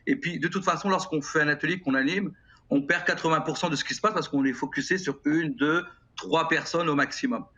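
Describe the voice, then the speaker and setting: A middle-aged man with a French accent answers interview questions earnestly, halting and repeating words as he thinks. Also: on the high side, bright, forceful tone. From France. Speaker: guest, music educator. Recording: slightly dull broadcast sound.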